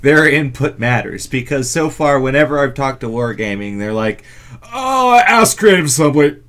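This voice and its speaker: A man's voice: medium pitch, lively intonation, moderate pace, a bit bright. A man hosting a gaming podcast in casual chat, with a wry, stop-and-start delivery. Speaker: podcast host.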